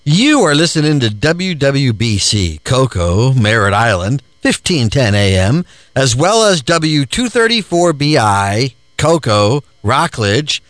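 Male station announcer, unhurried, lively pitch, dry close sound. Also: no echo. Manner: measured, reads out call signs. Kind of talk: station identification.

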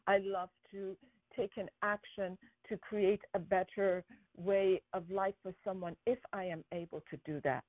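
A woman whose first language is Persian, a guest talking earnestly about her charity work, with many pauses and fillers. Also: medium pitch, fairly even intonation, dry recording. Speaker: guest, school founder. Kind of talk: interview conversation. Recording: tinny phone line.

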